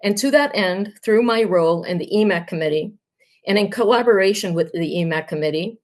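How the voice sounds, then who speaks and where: A woman's voice: mid-range voice, brisk. A woman from Puerto Rico, a native Spanish speaker, giving a prepared campaign speech as a union candidate in an earnest, emphatic manner. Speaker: union candidate, high school Spanish teacher.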